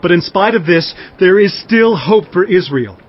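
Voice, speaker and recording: A man's voice: high-pitched, lively intonation, moderate pace. A man playing a contrite but hopeful Israelite in a Bible audio drama, pleading earnestly. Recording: dull lo-fi audio.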